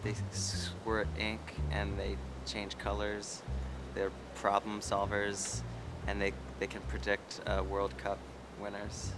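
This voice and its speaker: Flat, low-voiced hesitant man. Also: takes it slowly, over music. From Delaware. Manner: hesitant, with many uhs. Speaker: interviewee. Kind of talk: street interview answers.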